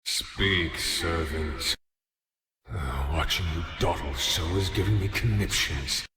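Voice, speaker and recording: An actor playing Death in a video game, a haughty, impatient immortal master with pompous, imperious speech. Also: male voice, low-pitched, takes it slowly, bright, forceful tone, echoey room, in a large hall, exaggerated voice. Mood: impatient disdain. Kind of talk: dramatic game voice acting.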